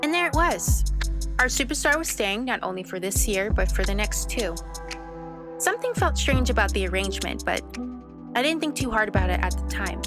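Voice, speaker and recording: Woman as a teen girl narrator over loud music. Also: medium pitch, very animated, close to an everyday voice. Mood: reflective, confiding. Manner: measured, confiding. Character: reflective teenage girl sleuth telling her story. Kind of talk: first-person scripted drama narration. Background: loud music bed.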